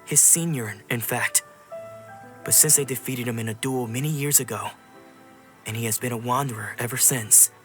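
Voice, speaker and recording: A man's voice: medium pitch, moderate pace, music in the background, close to an everyday voice. Man as an earnest young sword disciple. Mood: concerned, hushed. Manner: polite, explanatory, earnest. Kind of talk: video game voice acting.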